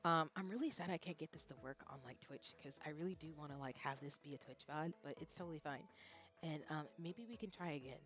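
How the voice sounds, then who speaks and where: A young woman streamer talking casually and animatedly to her chat, jumping between loud bursts and soft asides, often poking fun at herself. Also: low voice, fairly quick. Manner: self-deprecating, reads out chat. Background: faint background music.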